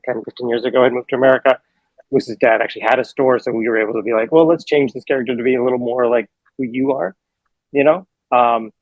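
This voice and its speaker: Rambling man with a gravelly, muffled voice. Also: mid-range voice, no room sound. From Hawaii. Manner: rambling, filler-heavy, self-correcting. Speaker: film director. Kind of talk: casual interview answers.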